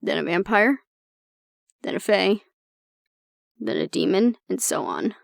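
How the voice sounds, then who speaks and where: A woman performs an exasperated female agent in an intimate audio drama. Her voice is creaky and mid-pitched, recorded close and dry. She talks bluntly and sardonically, as if confiding in one person. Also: animated, a little slow, no echo. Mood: reflective, explaining. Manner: blunt, sardonic, conversational, swearing. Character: exasperated woman agent venting about her stubborn, disapproving father. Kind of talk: audio roleplay acting, intimate monologue to the listener.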